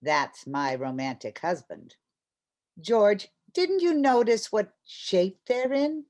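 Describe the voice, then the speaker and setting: A woman's voice: medium pitch, expressive, slow, a little mellow. A woman playing a playful, mushy housewife in an old-time radio comedy, with a coaxing, theatrical delivery.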